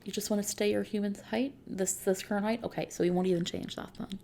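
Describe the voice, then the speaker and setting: A woman playing a friendly, reassuring technician at a futuristic customization service in a soft-spoken, casual ASMR roleplay. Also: mid-range voice, steady tone, a little hiss or hum, natural voice. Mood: gentle, reassuring. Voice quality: soft, creaky. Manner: gentle, reassuring, casual, unhurried.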